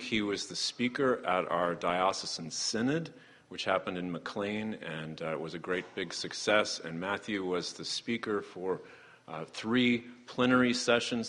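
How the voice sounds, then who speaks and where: A man, the host pastor, introducing a guest speaker with eager, halting enthusiasm. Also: lowish voice, pushed and bright. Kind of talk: church introduction. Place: church sanctuary. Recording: long church reverb.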